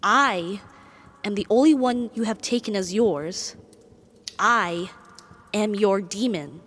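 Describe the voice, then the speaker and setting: A woman voicing a proud, possessive, lovesick female demon in an ASMR roleplay, speaking in formal, deliberate, dramatic phrases. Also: medium pitch, fairly bright tone. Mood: jealous, possessive. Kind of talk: ASMR romantic audio roleplay.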